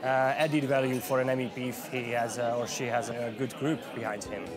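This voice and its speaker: A man's voice: medium pitch, little pitch movement, brisk. A man in his thirties with a Hungarian accent, a native Hungarian speaker answering interview questions in hesitant, filler-heavy English. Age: 30s. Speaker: parliamentary assistant. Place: large office building. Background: background music.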